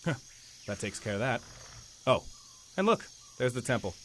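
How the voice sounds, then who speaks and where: An actor playing a stern, dry-witted superhero team leader who gives clipped orders in an audio drama. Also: male voice, mid-range voice, big pitch swings, normal speed, noisy background, close to an everyday voice. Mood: dry, commanding.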